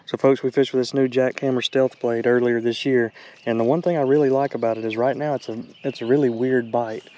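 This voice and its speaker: A man's voice: medium pitch, steady tone, fairly quick, dark tone, highs cut off. Man fishing outdoors, noisy recording. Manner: folksy, addresses viewers as folks. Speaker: fishing vlog host. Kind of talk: casual chat to camera while fishing. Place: outdoors on a small boat on a lake. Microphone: action camera worn on or near the body. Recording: outdoor noise on action camera.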